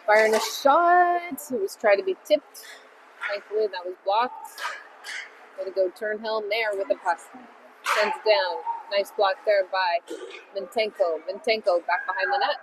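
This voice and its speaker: A woman's voice: medium pitch, a little slow. A woman doing casual play-by-play at a hockey game, cheering on her own team. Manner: chatty, rooting for the home team. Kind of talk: amateur play-by-play commentary. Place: ice rink. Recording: noisy rink ambience.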